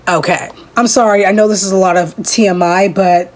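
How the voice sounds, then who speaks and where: A young woman of eighteen runs through her makeup routine for her channel. She talks casually and rambles between products. Now and then she breaks into animated bursts. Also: medium pitch, fairly quick. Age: young adult. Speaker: beauty vlogger. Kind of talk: casual makeup tutorial chat.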